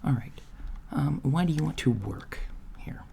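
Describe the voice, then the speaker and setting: A man playing an awkward, apologetic novice alien job interviewer in a soft, hesitant ASMR roleplay. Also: on the high side, gravelly, pitched above the performer's own voice, exaggerated voice. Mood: matter-of-fact, apologetic. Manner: hesitant, halting, mumbling asides. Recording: lo-fi, steady noise, keyboard typing. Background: computer keyboard typing.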